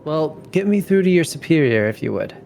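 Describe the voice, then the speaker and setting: A man's voice: on the high side, very animated, a little fast, creaky, a little mellow, slight background noise. A man playing a ragged, demanding woman who poses as an abducted damsel in a tabletop game. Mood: urgent, demanding. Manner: demanding, urgent, polite phrasing.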